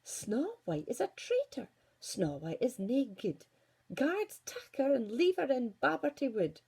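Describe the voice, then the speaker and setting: Woman putting on a shrill, vain Scottish fairy-tale Queen. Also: big pitch swings, measured pace, gravelly, a little mellow, husky, exaggerated voice. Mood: commanding. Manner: imperious, demanding.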